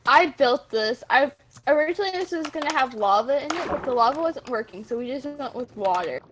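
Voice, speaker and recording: A woman's voice: high-pitched, expressive, fairly quick. A young woman casually giving a tour of a game world, explaining things in a matter-of-fact way. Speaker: player showing others around a game world. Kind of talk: casual gaming chat, acted in a dramatic reading. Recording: noisy room with mouse clicks.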